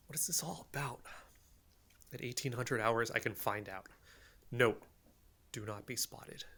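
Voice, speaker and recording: A man's voice: mid-range voice, fairly bright tone. An actor in an audio drama playing an earnest, suspicious young male investigator with an intense, self-serious manner. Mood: betrayed, agitated. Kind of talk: audio drama, dictating covert voice notes.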